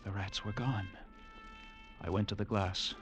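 An actor playing a haunted lighthouse keeper, narrating an old radio drama with intense, halting delivery and suspenseful pauses. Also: male voice, on the low side, fairly even intonation, fairly bright tone, faint music behind. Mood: hushed suspense. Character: haunted male lighthouse keeper recounting a grim ordeal.

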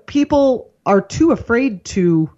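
A woman's voice: fairly high pitch, lively intonation, takes it slowly, dark tone. A woman comedian and news anchor talking politics as a guest in an earnest, rambling way, laughing easily. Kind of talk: casual political chat. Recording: dull lo-fi remote line.

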